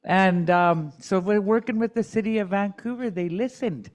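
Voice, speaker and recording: A woman's voice: medium pitch, moderate pace, darkish tone. Forthright middle-aged woman advocate. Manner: candid and forthright, with joking asides. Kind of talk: personal testimony at a community dialogue.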